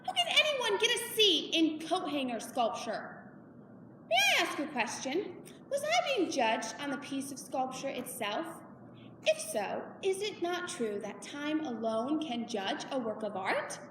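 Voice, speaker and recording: A woman's voice: high-pitched, very animated. A woman playing an indignant little girl on stage, arguing her case in a string of rhetorical questions. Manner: argumentative, rapid-fire rhetorical questions. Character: indignant little girl pleading over a school grade. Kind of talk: theatrical stage monologue. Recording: echoey hall, far from mic, noisy.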